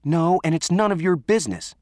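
A man's voice: medium pitch, big pitch swings, measured pace, dry recording, studio quality, pitched above the performer's own voice. A man voicing a defiant, defensive teenage girl in an audiobook story, curt and indignant.

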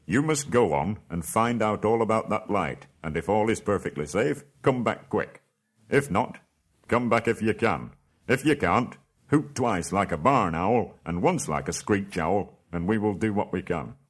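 A man voicing a stern male dwarf leader who gives loud, commanding orders. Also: lowish voice, lively intonation, a little slow, slightly rough.

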